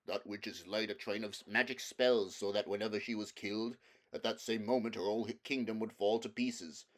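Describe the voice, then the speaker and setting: A man's voice: on the low side, fairly even intonation, brisk, lightly acted. A man voicing a gloomy, fatalistic marsh creature in a storybook reading, predicting doom matter-of-factly. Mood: grimly certain. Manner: glum, matter-of-fact doom-saying.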